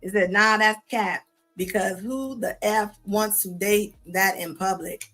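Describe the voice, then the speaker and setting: A woman's voice: medium pitch, steady tone, measured pace, bright, forceful tone, soft background music. A woman hosting a live show, reacting to clips in a sassy, scolding tone with casual slang, often repeating herself. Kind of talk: live commentary on videos.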